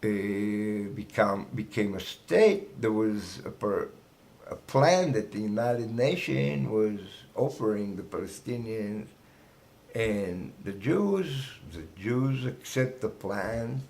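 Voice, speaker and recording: Slow, gravelly Israeli man in his sixties. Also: lowish voice, expressive, darkish tone. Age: 60s. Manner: halting, broken English with restarts. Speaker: guest. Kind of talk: casual interview.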